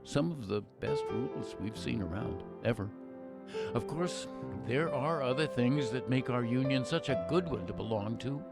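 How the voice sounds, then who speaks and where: A man with an American accent playing an earnest, reflective radio narrator, delivering a scripted patriotic monologue in a measured, oratorical way. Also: mid-range voice, animated, vocal fry. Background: music behind the voice.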